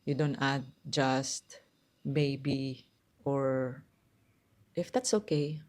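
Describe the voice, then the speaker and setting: A middle-aged woman with a low, hard, tight voice, talking through a numbered list of life advice in an earnest, direct way with loose phrasing. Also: steady tone, very slow, darkish tone. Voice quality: low, hard and tight voice, mistaken for a man's on the phone. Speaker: self-help vlogger. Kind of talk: casual advice talk, counting down a numbered list. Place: quiet spot at home.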